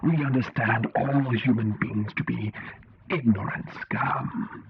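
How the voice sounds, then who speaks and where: A man voicing a haughty alien leader with a gurgling, creaky voice and slow, formal speech in a narrated science fiction story. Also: medium pitch, highs cut off, exaggerated voice. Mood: relieved, condescending.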